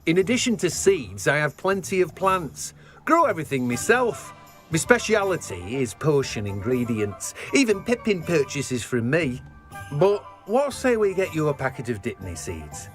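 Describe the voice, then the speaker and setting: Chatty plant seller at his cart, lively pitch, game scene. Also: moderate pace, faint music behind. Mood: friendly. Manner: chatty, friendly. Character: sociable male seed and plant vendor at a cart. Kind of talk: video game cutscene.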